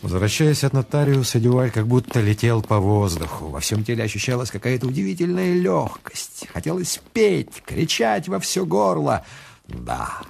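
A man acting as the storyteller of a radio play, narrating in a measured, reflective and literary manner. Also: mid-range voice, big pitch swings, creaky, darkish tone. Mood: reflective, dramatic. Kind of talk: scripted narration for a radio drama. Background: music.

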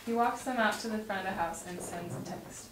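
A woman's voice: mid-range voice, fairly quick. A young woman reading scripted stage directions aloud at a table read. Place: home dining room, around a table. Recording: roomy, distant mic, clear noise.

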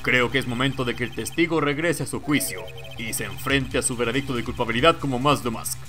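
Man playing a bright-voiced, smug courtroom prosecutor. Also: mid-range voice, fairly quick, soft background music, close to an everyday voice. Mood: smug, stern. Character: smug, cool, unyielding male prosecutor. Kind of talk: amateur video game dialogue reading.

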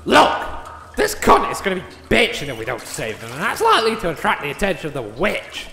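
A man's voice: fairly high pitch, wildly swooping pitch, fairly quick, gravelly, exaggerated voice. A man voicing a crude, greedy, scheming little gnome who mocks and swears, in a comedic fantasy audio drama. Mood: exasperated. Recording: echoey reverberant room with some noise.

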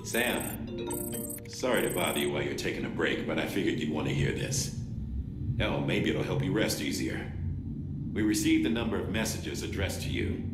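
Game briefing role: reassuring commander, low register, plain delivery, echoey, under heavy music. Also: male voice. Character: steady, considerate male commander relaying news. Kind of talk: video game briefing dialogue.

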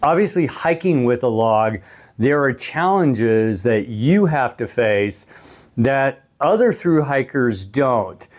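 A man in his early sixties speaks as a vlogger and interviewer in casual, conversational style. His pitch sits in the middle for a man. He is close on a clip-on mic in a small room. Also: animated, slow pace, darkish tone. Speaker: vlogger, thru-hiker interviewing another hiker. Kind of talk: casual vlog talk to camera. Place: small hotel room, indoors. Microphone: clip-on lavalier on the chest.

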